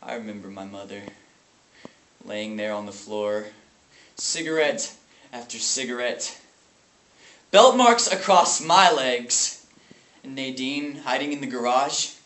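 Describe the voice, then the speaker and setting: A man performing a dramatic stage monologue as a grieving, traumatized brother, anguished and bitter, speaking in halting phrases with heavy pauses. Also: medium pitch, fairly even intonation, fairly bright tone, far from the mic, close to an everyday voice. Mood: bitter, haunted. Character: grieving, traumatized brother bitter about his abusive family. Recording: echoey room with faint noise.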